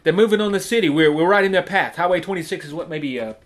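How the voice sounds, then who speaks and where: A man's voice: a little high, expressive, fast talker, close to an everyday voice. An American man acting in an audio drama as a panicky, sarcastic, paranoid boyfriend who stammers and swears in exasperation. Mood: panicky, urgent. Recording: clear background noise, phone-diary sound.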